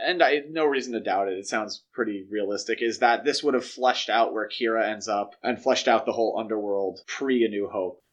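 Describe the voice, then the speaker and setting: A man's voice: mid-range voice, dull audio. A man co-hosting a podcast, discussing film news casually in a speculative, lightly joking way. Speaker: podcast co-host.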